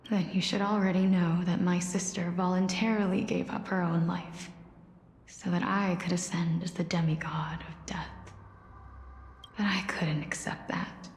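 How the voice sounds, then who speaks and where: Mournful game demigod of death: soft, lower-pitched, creaky edge, wistful and measured. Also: female voice, fairly even intonation, slight background noise. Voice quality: soft, breathy, soothing. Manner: slow, measured, wistful storytelling. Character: solemn, gentle young female demigod of death, mournful. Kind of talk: video game voice acting. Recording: echoey hall reverb with music behind.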